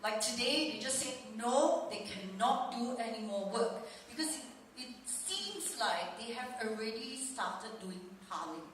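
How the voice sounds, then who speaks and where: A woman's voice: a little high, lively intonation, measured pace, few pauses, a bit bright. A Malaysian woman speaking as a residents' representative at a public townhall, earnest and roundabout as she puts questions to an official. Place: large indoor hall at a public meeting. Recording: very echoey hall, distant, faint noise.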